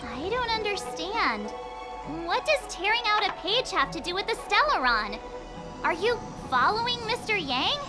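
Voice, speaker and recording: A woman voicing an earnest, excitable girl with a righteous temper in a video game, forthright and dramatic. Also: very high voice, moderate pace. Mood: puzzled. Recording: loud music under the voice.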